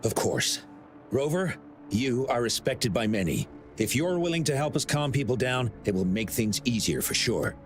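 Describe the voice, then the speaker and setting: A man's voice: mid-range voice, lively intonation, moderate pace, natural voice. An actor playing an earnest young male event organizer in a game, speaking politely and expressively. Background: game music.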